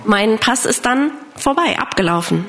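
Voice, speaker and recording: A woman's voice: on the high side, animated, moderate pace, a bit bright. A woman interpreting a sermon live, phrase by phrase.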